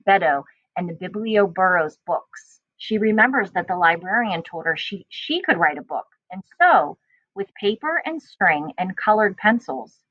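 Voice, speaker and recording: A woman reading a children's storybook aloud as a teacher, with expressive storytelling. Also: medium pitch, no room sound, muffled recording.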